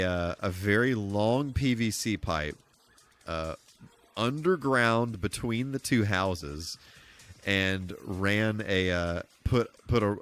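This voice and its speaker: A man's voice: fairly low pitch, fairly bright tone, clean tone. An American man giving casual, rambling, good-humored commentary on a gaming livestream. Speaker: live streamer. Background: game music.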